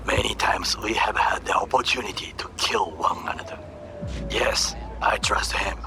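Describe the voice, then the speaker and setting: Low, grave Japanese-accented warrior. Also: male voice, creaky, whispered. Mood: grave, earnest. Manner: formal, deliberate, grave. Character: grave, honourable Japanese man, loyal corporate warrior. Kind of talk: video game voice acting. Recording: music behind the dialogue.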